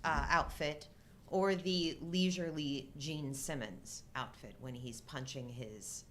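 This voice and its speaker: A middle-aged woman co-hosting a live show, chatting casually about movies and joking in a deadpan way. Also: low-pitched, slow pace, a little hiss or hum. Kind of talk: casual humorous movie-discussion chat.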